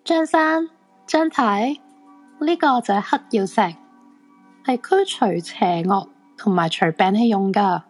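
High-voiced, excitable crystal fortune-teller played by a woman. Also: a little slow, faint music behind. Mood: helpful, attentive. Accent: Hong Kong Cantonese. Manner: eager, friendly, animated. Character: excitable, self-assured female crystal fortune-teller, thrilled by ghosts. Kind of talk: radio drama acting.

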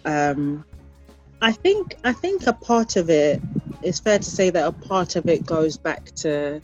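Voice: medium pitch, sing-song, unhurried. Guest from ghana talking thoughtfully, stops n restarts a lot, bit muffled, faint music under it. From born in Liberia, raised in Ghana, later the Netherlands and UK. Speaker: interview guest. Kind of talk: reflective conversational interview.

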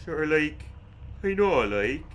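Man playing a slow, bewildered stoner. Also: fairly high pitch, sing-song, a little hiss or hum. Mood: confused. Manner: halting, tacks "like" onto everything. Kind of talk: dark comedic radio play acting.

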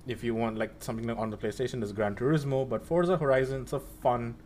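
A man's voice: mid-range voice, fairly even intonation, fast, a little mellow, slight background noise. A young man hosting a casual chat about video games, talking in a rambling way with many fillers and restarts. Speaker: podcast host.

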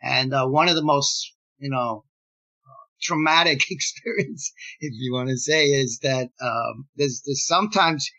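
A man's voice: medium pitch, measured pace, bright, forceful tone. A man in his sixties from Gibraltar whose first language is Spanish, a playwright talking in a casual interview, rambling with many fillers and restarts. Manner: rambling, many fillers and restarts, laughs while telling stories. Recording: dull lo-fi sound.

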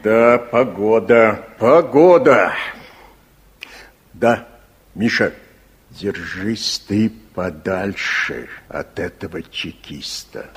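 Casting: older gentleman, low, extremely unhurried delivery, wary and coldly hostile, vintage radio drama. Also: expressive, full character voice. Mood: wary, warning. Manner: drawling, deliberate. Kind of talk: 1960s Soviet radio play acting.

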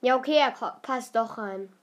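A woman's voice: a little high, normal speed, highs cut off, faint music behind. A woman commenting casually on a video she is watching, asking questions and hesitating with fillers. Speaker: reaction commentator.

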